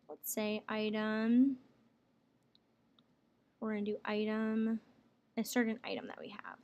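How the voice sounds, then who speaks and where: A woman working as a software trainer, explaining each step plainly in a casual screen tutorial. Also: medium pitch. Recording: clear background noise, room ambience.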